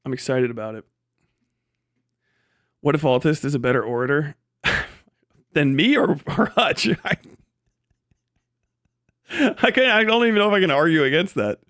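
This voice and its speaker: Clear, higher-pitched man in casual livestream commentary. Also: animated, moderate pace, long pauses, a bit bright, no room sound, clean studio sound. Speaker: livestream host. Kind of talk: casual profane political commentary.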